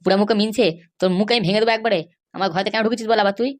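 A woman's voice: mid-range voice, fairly even intonation, very fast, natural voice. A woman voicing a defiant, hot-headed young married man in a comic cartoon, blustering and argumentative.

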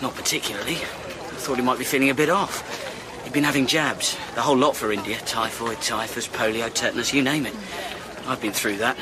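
A man's voice: mid-range voice, a little fast, background noise, close to an everyday voice. A man playing an offhand, cocky young student in a radio drama. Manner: offhand, casual.

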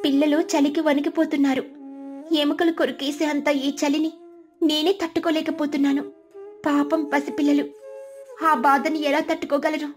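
Cartoon sparrow mother, female actor, high voice, meek and pleading, music underneath. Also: close to an everyday voice. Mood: worried. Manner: pleading, plaintive. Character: meek, troubled young mother sparrow, dutiful daughter-in-law.

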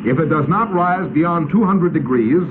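An American man narrating a 1950s instructional film in a crisp, authoritative, instructional manner. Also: fairly high pitch, normal speed. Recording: tinny, noisy 1950s film soundtrack.

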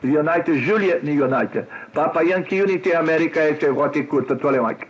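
A Brazilian man, a native Portuguese speaker, chatting as a radio operator in a halting, repetitive way. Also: fairly high pitch, lo-fi sound, very noisy. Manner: halting, repetitive foreign-language speech. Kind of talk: casual radio contact chat with callsign spelling. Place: cluttered home radio desk indoors.

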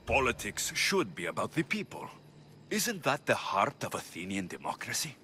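An actor playing a blunt, skeptical Greek mercenary in video game dialogue, with a dry, challenging manner. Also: male voice, lowish voice, wildly swooping pitch, moderate pace, bright, forceful tone, a little hiss or hum, exaggerated voice.

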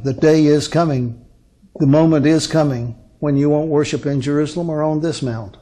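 A man in his sixties preaching as a pastor, teaching from scripture in a deliberate, emphatic manner with rhetorical questions and pauses. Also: on the high side, dark tone. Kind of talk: sermon, expository Bible teaching from a lectern. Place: indoor lectern on a curtained stage.

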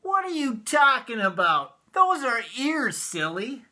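A stubborn, contrary storybook cloud-watcher, played by a man with an American accent. The delivery is bright and emphatic, bickering playfully with big pitch swings. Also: big pitch swings, measured pace, exaggerated voice. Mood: contradicting.

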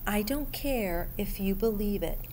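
A middle-aged woman reading a picture book aloud to children in an expressive, deliberate way, pausing as she addresses young listeners. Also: mid-range voice, low-quality recording. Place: school library room, indoors. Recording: steady background room noise.

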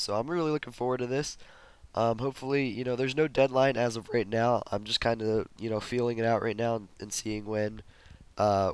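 Sick young man with a hoarse voice. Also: mid-range voice, dry recording. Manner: rambling, many fillers and restarts, occasional coughs. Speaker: gaming commentator. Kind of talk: casual gameplay commentary.

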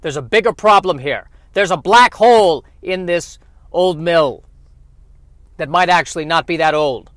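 A man playing a bossy, proper gentleman scientist, emphatic and pompous, in a spoof fifties radio play. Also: a little high, measured pace, dry recording, studio quality, pitched above the performer's own voice. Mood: indignant, commanding. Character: bossy, indignant 1950s male scientist hero, proper gentleman. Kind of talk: spoof 1950s radio acting.

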